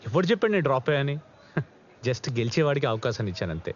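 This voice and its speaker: A man's voice: mid-range voice, big pitch swings, fast talker, slight background noise, close to an everyday voice. An actor playing a generous, encouraging young archer in a film drama, speaking earnestly and switching into English phrases. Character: generous, encouraging young archer who gave up his place. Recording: dull film audio with music.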